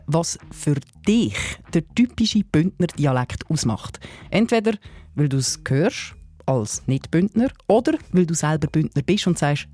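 Swiss German male co-host, upbeat and keen, voice on the high side. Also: a little mellow. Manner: upbeat, enthusiastic. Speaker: podcast co-host. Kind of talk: radio chat trailer.

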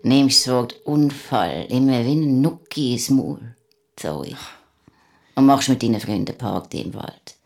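A woman playing a bitter, unhinged middle-aged mother with a Swiss German accent in an audio horror drama, drifting between breathy whispers and menacing outbursts. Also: rumbling low, expressive, a little slow, darkish tone, heavily acted. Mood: accusing, hushed. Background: music.

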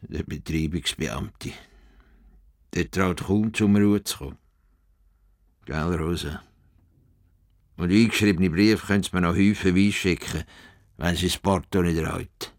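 An eccentric older farmer in a radio play, with a deep voice and a Swiss German accent. He grumbles with slow, deliberate self-importance, a stubborn world reformer. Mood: grumbling, defiant. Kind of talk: radio play acting, muttered monologue and dictation.

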